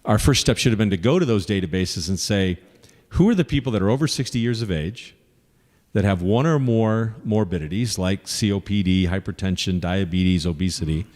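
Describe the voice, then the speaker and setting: A man's voice: on the low side, expressive, brisk, a little mellow, big-room sound. A man running for office, earnestly answering audience questions at a forum and listing his points with frequent fillers. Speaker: congressional candidate.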